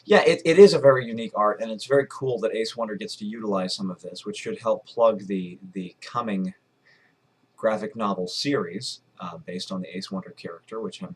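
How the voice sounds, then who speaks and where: A man's voice: fairly low pitch, brisk, husky. A man in his twenties chattily hosting a casual interview and promotional video blog, wordy and polite. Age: 20s. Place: furnished room at home, indoors.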